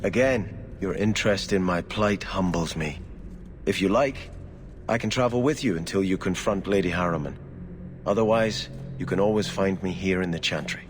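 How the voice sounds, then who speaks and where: An exiled prince from a video game acted by a man, earnest and courtly. He speaks formally, over clear background noise. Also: low voice, normal speed, close to an everyday voice. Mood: resolute, grateful.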